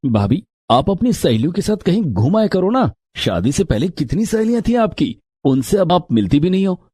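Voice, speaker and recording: An actor playing a stubborn, mildly exasperated younger brother-in-law in a moral story, insistent in manner. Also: male voice, high-pitched, very animated, normal speed, warm tone, breathy edge, no echo, full character voice. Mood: exasperated, placating. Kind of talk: Hindi moral story character acting.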